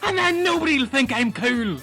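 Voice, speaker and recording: A man improvising a comedy scene, talking in an excitable way and sometimes dropping into a deep mock voice. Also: moderate pace. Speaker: cast member playing Jimmy. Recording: amateur recording with music behind.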